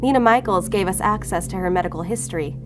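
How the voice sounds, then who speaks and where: A woman's voice: medium pitch, quick pace, lightly acted. An actress playing a shrewd, controlled female investigator in an audio drama, firm and needling. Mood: cool, needling. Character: cool, shrewd female investigator, bluffing and relentless. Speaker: law enforcement agent. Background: music under the voice.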